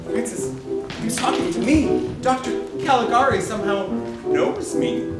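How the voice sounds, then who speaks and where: Guy acting excitable dreamy young man in a stage play, voice all over the place, echoey. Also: very high voice, moderate pace. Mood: awestruck. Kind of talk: musical theatre stage acting. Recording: echoey stage, distant mic, music behind.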